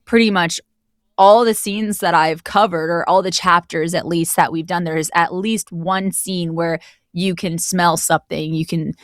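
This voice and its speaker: A woman's voice: on the low side, fairly even intonation, normal speed, no room sound, studio quality. A woman speaking casually as an interview guest who narrates audio drama, explaining things in a reflective way.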